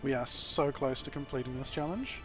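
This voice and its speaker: A man from New Zealand with a New Zealand accent, giving relaxed, rambling gameplay commentary full of ums, his sentences often rising at the end. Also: mid-range voice, brisk. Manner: sentences ending with an upward inflection. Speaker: gaming YouTuber. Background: epic game soundtrack music.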